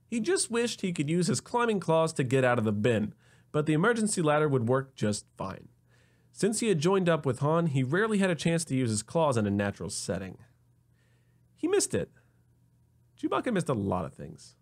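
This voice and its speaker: A man narrating a scripted comedic sci-fi story in an expressive, wry manner. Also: mid-range voice.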